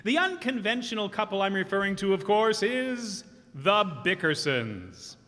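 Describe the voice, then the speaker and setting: Formal radio announcer, male, animated and high-pitched, hall reverb. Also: measured pace. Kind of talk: old-time radio announcer reading.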